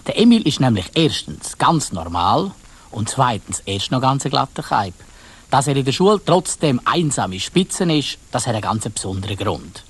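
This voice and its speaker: A man's voice: mid-range voice, very animated, moderate pace, husky. A man with a Swiss German accent narrating a children's story in a colloquial way, addressing his listeners directly.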